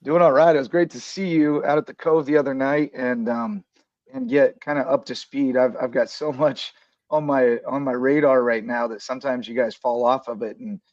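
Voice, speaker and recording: Raspy southern guy hosting a chill hoops show, drawl, dry dull mic. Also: mid-range voice, steady tone, normal speed, no echo. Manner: easygoing, rambling with many fillers. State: slightly raspy from coaching. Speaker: podcast host. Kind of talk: casual hoops talk show.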